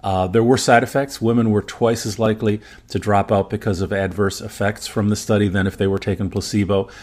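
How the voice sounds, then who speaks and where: A man who is a native German speaker, talking through medical evidence as a podcast guest in a measured, explanatory and careful way. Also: fairly low pitch, steady tone, darkish tone. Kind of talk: informal evidence review in conversation.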